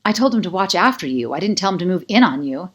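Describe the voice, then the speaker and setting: A woman's voice: on the low side, very animated, quick pace, breathy edge. Audiobook romance role: jealous, intense young male ex, emotionally bruised; female reader. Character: Kai, intense jealous young man, wounded ex-boyfriend.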